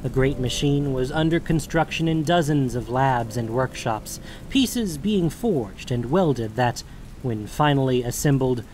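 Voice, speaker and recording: A man's voice: medium pitch, a little mellow, slight background noise. A man reading scripted narration for a fantasy audio drama. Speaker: narrator and podcast host.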